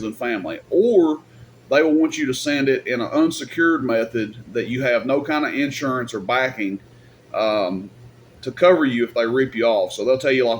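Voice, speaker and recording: A man's voice: medium pitch, slight background noise. A man giving casual, off-the-cuff advice in a rambling, plain-spoken, colloquial way, like a YouTube host. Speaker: YouTube host and radio equipment seller.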